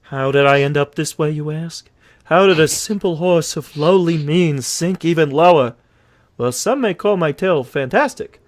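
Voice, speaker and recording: A man's voice: on the high side, moderate pace, close to an everyday voice. A man voicing an eager talking horse who fancies himself a crook, with theatrical, folksy storytelling in a comic fandub. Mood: dramatic storytelling. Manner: theatrical, folksy old-fashioned turns of phrase. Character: self-pitying talking horse, eager cheerful rogue wannabe. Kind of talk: comic comic-book fandub reading with storytelling flourishes.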